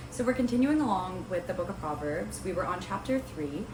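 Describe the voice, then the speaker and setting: A young woman talks to the camera in a casual, rambling way, full of ums and likes. Her voice sits low and is a little raspy from a cold. The room sounds roomy, with clear background noise. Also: lively intonation, brisk. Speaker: host of a Bible study video series. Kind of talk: casual talking-to-camera vlog.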